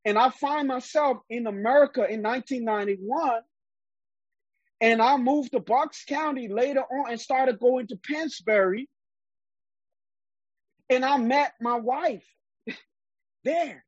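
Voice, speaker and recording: A man's voice: very high-pitched, a little slow, long pauses, no room sound, lo-fi sound. A man from Liberia preaching a sermon, emphatic and often repeating words while he gathers his thoughts.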